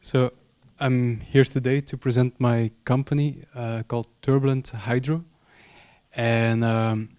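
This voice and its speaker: A man's voice: mid-range voice, flat delivery, slow pace, a little mellow, smooth. A man whose first language is not French, presenting his company, hesitant with many fillers.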